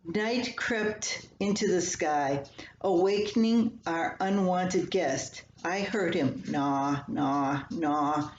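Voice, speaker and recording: Older lady reading her funny column, real slow and folksy, bit muffled, faint noise behind. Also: lowish voice. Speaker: columnist reading own work. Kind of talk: reading a humorous personal column aloud. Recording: echoey room, slightly dull, faint hiss.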